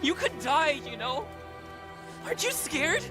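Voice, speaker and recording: An actress voicing an earnest, anxious and loyal youngster in a video game, with a pleading manner. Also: female voice, sits high, very animated, a little slow, music in the background. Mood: pleading, upset. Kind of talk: dramatic video game acting.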